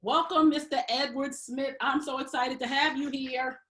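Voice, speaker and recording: A woman's voice: high voice, fairly even intonation, moderate pace, a bit bright. A woman hosting an interview, welcoming her guest and asking questions in an enthusiastic, exclamatory way. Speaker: host.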